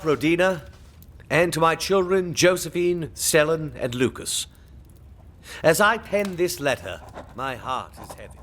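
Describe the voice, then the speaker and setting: An actor playing a solemn executor in a gothic audio drama, making a ceremonious, formal address. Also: male voice, a little high, a bit bright. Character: solemn executor formally reading a dead lord's letter.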